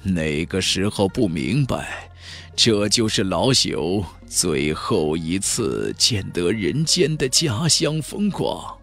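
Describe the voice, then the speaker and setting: A man's voice: sits low, very animated, creaky, fairly bright tone, faint music behind, heavily acted. A man voicing an ancient clan elder in a fantasy audiobook, reverent and sorrowful, telling of the past in a dreamy, archaic manner. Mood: nostalgic. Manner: slow, dreamy, archaic storytelling. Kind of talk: audiobook character voice in a fantasy novel.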